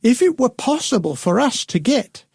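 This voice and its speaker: Gravelly-voiced man musing on air. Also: very high voice, very animated, moderate pace, a little mellow, dry recording, studio quality. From United Kingdom. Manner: reflective, musing, with many "uh" and "you know". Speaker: radio co-host. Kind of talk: faith radio discussion.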